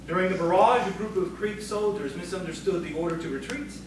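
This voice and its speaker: Man playing measured, higher-voiced scholar in echoey room. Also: close to an everyday voice. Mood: grave. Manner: measured, knowing, gravely cautioning. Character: calm, scholarly storyteller, a male writer. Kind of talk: audio theatre acting. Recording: very echoey, distant, noisy room.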